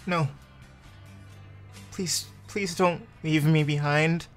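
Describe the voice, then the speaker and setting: A woman's voice: sits low, steady tone, slow pace, soft background music, pitched above the performer's own voice. A woman voicing a shaken, desperate young woman who stammers and pleads in a tabletop roleplaying game. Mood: frightened pleading.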